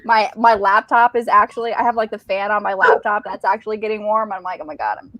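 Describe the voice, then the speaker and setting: A woman of medium pitch chats in a casual, conversational way. Her audio is dry with very little room sound, as on a video call from home. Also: flat delivery, quick pace, dry recording, dull audio. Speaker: book reviewer in a livestream readalong. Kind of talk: casual chat.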